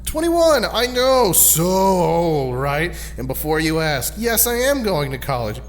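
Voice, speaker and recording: A man's voice: fairly high pitch, big pitch swings, a little slow, in a large hall. An actor playing an impatient, sarcastic young adult male superhero in an audio drama. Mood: sarcastic, irritated. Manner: snarky, grumbling.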